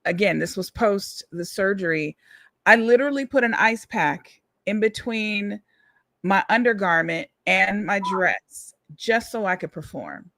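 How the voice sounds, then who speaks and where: A woman with a slightly raspy voice, speaking earnestly and encouragingly as a singer being interviewed in a heartfelt conversation. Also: medium pitch, unhurried, fairly bright tone, no room sound. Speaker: guest singer and songwriter.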